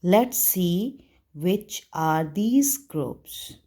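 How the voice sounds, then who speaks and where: A woman teacher narrating a simple lesson for young children, explaining deliberately in short phrases. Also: fairly low pitch, animated, dark tone. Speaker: primary school teacher.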